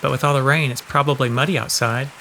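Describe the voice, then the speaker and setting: A man's voice: medium pitch, steady tone, fast, bright, forceful tone, a little hiss or hum. Easygoing man, faint rain behind. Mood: sheepish, accommodating. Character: easygoing, kindly, slightly sheepish man. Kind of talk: audio drama acting.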